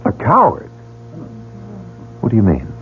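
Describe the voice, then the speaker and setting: A curious but guarded newcomer who questions everything he sees. His lowish voice moves lively, and it comes through narrow and phone-like, like a vintage broadcast. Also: unhurried, slight background noise. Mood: wary. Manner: inquisitive, wary. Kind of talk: 1940s radio drama acting. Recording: phone-like old radio broadcast.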